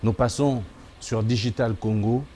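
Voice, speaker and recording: A man's voice: medium pitch, fairly even intonation, unhurried, dark tone, slight background noise. A man hosting a TV magazine, addressing viewers in an emphatic presenter style and repeating his slogans. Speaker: TV magazine host.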